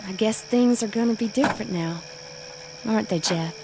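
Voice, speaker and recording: An actress playing an earnest, curious young country bride-to-be in a radio drama, gentle and hesitant. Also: female voice, a little high, normal speed, background noise, lightly acted. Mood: anxious, tender.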